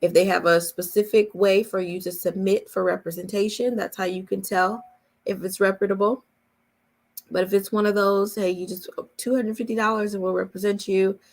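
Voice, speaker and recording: A woman's voice: mid-range voice, flat delivery, moderate pace. A woman coaching in a casual question-and-answer chat, explaining emphatically point by point with repetition. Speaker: voice-over coach hosting a live Q&A.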